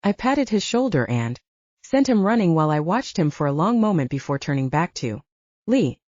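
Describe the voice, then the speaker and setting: A woman narrating a first-person audiobook in a lively storytelling manner, lightly voicing the dialogue. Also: on the low side, normal speed, dry recording, dull audio.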